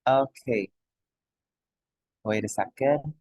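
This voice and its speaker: A man's voice: mid-range voice, takes it slowly, no room sound. Guy walking delegates through debate rules on a call, chill, keeps saying yeah, dry audio. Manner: relaxed, frequent "yeah" tags. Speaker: committee chair giving a training session. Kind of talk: casual online presentation.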